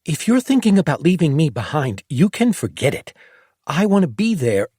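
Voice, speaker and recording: A man's voice: sing-song, moderate pace, darkish tone, husky, dry recording, clean studio sound. A male narrator voicing a feisty, stubborn little girl who teases and insists. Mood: insistent. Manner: raised pitch, bratty and insistent.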